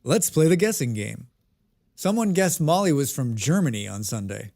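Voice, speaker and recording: A man's voice: medium pitch, big pitch swings, normal speed, vocal fry, mellow. An American man chatting casually with his viewers while playing a game on a live stream, reading and answering their comments. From United States.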